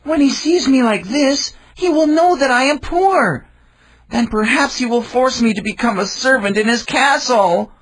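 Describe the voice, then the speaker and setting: A man's voice: very high voice, a little slow, fairly bright tone, a little hiss or hum, pitched above the performer's own voice, heavily acted. A man voicing a poor, timid young man in a storybook reading, worried and hesitant.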